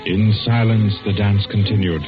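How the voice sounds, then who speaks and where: A grave man acting as narrator of a vintage radio thriller, low in pitch and deliberate in delivery. He tells the tale solemnly over music. The old recording is thin and narrow. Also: fairly even intonation, close to an everyday voice.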